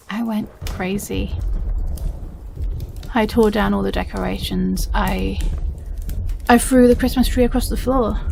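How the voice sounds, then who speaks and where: A woman with a British accent playing an obsessive, possessive, unstable stepsister in a dramatic audio roleplay, speaking haltingly with heavy pauses. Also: mid-range voice, creaky, darkish tone, slight background noise, low-quality recording.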